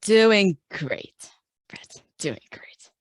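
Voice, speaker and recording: A woman's voice: mid-range voice, lively intonation, very slow, no room sound, clean studio sound. A woman playing a tabletop role-playing game, chatting and improvising casually.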